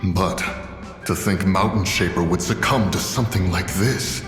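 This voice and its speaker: A low, reverberant voice of a grave immortal beast in a video game, acted by a man. The speech is pompous and archaic, stern and deliberate in its wording. Music plays behind. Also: natural voice. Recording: reverberant processed voice over music.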